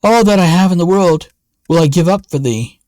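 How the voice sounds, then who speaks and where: A man's voice: high voice, normal speed, a little mellow, no room sound, voice pushed up, close to an everyday voice. A man voicing a passionate, loyal young king in a fairy tale, speaking with emotional exclamations. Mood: fervent.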